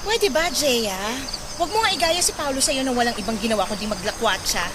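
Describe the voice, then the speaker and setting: An actress playing a stern, nagging older sister in a radio drama, scornful and accusing. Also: female voice, on the high side, animated, brisk, background noise, natural voice. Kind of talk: Tagalog radio drama acting.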